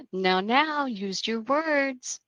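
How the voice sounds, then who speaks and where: Woman doing a crazy taunting killer girl for a horror read, slow and theatrical, very dry sound. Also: fairly high pitch, a bit bright, no echo, poor audio. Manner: mocking, drawn-out, punctuated with laughter. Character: mad, gleeful young female killer, taunting and theatrical. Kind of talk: dramatic table read of a horror screenplay.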